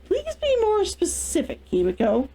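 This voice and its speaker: A woman's voice: very high-pitched, wildly swooping pitch, normal speed, mellow, pitched above the performer's own voice, heavily acted. A woman voicing a composed, imperious young noblewoman in a storybook reading. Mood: coolly polite. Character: composed, demanding young noblewoman on eve of adulthood.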